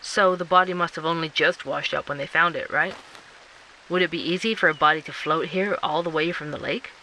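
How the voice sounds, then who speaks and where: Man playing an investigative podcaster, bright forceful voice, slightly high, faint outdoor ambience. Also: fairly even intonation, fairly quick, slight background noise, low-quality recording. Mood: curious. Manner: inquisitive, probing. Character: curious young male investigative podcaster. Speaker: investigator and narrator.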